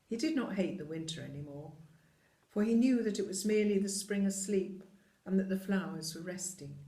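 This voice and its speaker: A storyteller reading a children's tale with deliberate, dramatic phrasing. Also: mid-range voice, warm tone. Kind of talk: expressive scripted storybook narration. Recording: echoey room.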